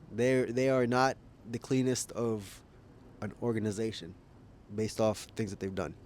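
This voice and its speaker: A man's voice: fairly low pitch, a little mellow, slight background noise. A man making a casual opinion vlog as a YouTuber, rambling, thinking aloud and correcting himself, with frequent chuckles. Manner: chuckles often while talking.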